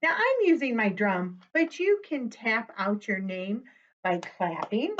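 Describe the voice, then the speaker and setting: A woman presenting a storytime for young children, talking to them directly in an animated way as she reads aloud. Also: fairly high pitch, measured pace, muffled recording.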